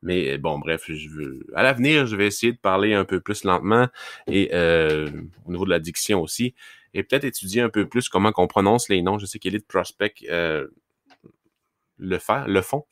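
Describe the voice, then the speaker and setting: A man's voice: sits low, normal speed, a bit bright, no room sound, clean studio sound. A man with a Québécois accent from Lac-Saint-Jean, hosting a hockey scouting podcast in a rambling, casual way with many fillers. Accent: Québécois French, Lac-Saint-Jean. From Quebec, Lac-Saint-Jean. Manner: rambling, many fillers and restarts, laughs at himself. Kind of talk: casual solo podcast talk.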